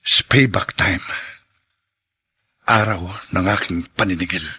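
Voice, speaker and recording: A man's voice: low-pitched, a little slow, sharp tone, slightly rough, exaggerated voice. A man playing a bitter father set on revenge, in a radio drama. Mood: vengeful.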